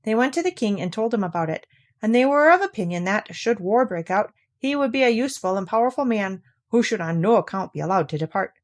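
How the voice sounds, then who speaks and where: A woman's voice: mid-range voice, lively intonation, quick pace. A woman narrating a classic fairy tale, reading aloud from the text as a storyteller.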